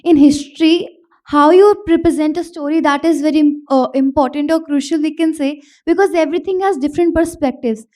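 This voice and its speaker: A woman's voice: high voice, a little fast, dark tone. A young woman, politely and hesitantly asking an author a question. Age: young adult.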